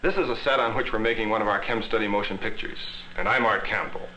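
A man's voice: fairly low pitch, fast, pushed and bright. An American man in his thirties presenting an educational film in a scripted narrating style. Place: classroom laboratory film set. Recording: muffled, hissy 1960s film soundtrack.

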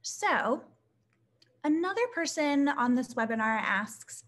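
A woman's voice: high voice, animated, takes it slowly, fairly bright tone. A young woman hosting a casual online Q&A webinar, upbeat and chatty with lots of fillers, heard through a laptop mic on a video call. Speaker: webinar host reading audience questions. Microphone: laptop webcam mic on a video call.

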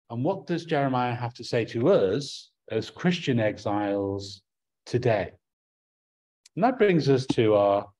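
A man's voice: medium pitch, darkish tone. A middle-aged man with an English accent preaching a sermon from a small room at home, earnest and prone to restarting his words.

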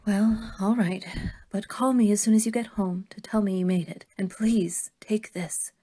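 A woman playing a kindly, worried older woman who believes in fairies, gentle and motherly in an audio drama. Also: medium pitch, steady tone, normal speed, husky, close to an everyday voice. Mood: worried, urging.

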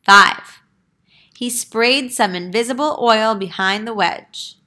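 A woman reads a storybook to young children. Her voice is fairly high, bright and very clean of creak. She goes slowly and emphatically, as a kindergarten teacher does. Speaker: kindergarten teacher reading aloud. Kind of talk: picture-book read-aloud for young children.

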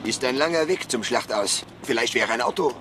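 An actor playing an earnest, resolute resistance leader in a video game, giving measured instructions and dramatic speeches. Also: male voice, mid-range voice, lively intonation, background noise, close to an everyday voice. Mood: grave, earnest.

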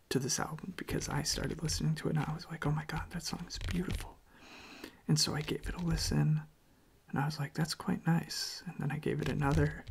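Hushed, creaky soft-spoken ASMR voice, evenly pitched. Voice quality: soft, breathy, often half-whispered. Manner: hushed, gentle, halting. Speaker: ASMR video creator, host. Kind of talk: soft-spoken ASMR, casual personal chat.

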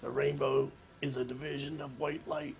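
An older man patient with a raspy, weak, quiet voice, heard as a played recording clip. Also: mid-range voice, flat delivery, normal speed, heavy background noise. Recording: faint clip played through a call.

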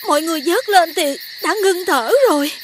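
A woman playing an anxious young household servant in an animated folk tale, pleading deferentially. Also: very high-pitched, fairly quick, very noisy. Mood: desperate pleading. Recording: clear night-time cricket ambience.

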